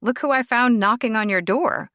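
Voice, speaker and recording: A woman's voice: a little high, moderate pace, fairly bright tone, no echo, voice pushed up, close to an everyday voice. A woman voicing a worried young woman pressing her close friend with earnest questions. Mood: brisk.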